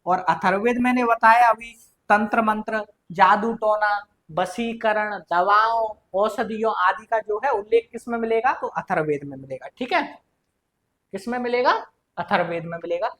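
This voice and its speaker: A woman's voice: mid-range voice, moderate pace, a bit bright. Indian woman teaching, repetitive question-and-answer style. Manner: didactic, repetitive, asking and answering own questions. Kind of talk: exam-prep lesson going through quiz questions.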